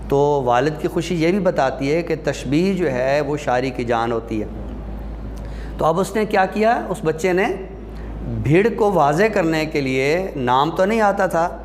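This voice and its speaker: A man giving an explanatory class lecture in a deliberate manner, pausing and posing questions that he then answers. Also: fairly high pitch, slight background noise. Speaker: lecturer teaching Urdu rhetoric.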